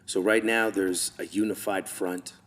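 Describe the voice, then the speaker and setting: A man's voice: deep voice, fairly even intonation, measured pace, a bit bright. A man hosting an interview, asking leading questions and giving short affirmations. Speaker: host. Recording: long reverb hall.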